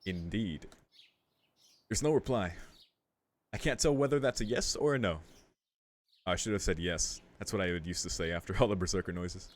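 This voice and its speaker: Casting: male gaming host, lowish voice, casual and jokey, slang-heavy, reads dialogue boxes aloud. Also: moderate pace, long pauses. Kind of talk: casual playthrough commentary, reading game text aloud. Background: game music.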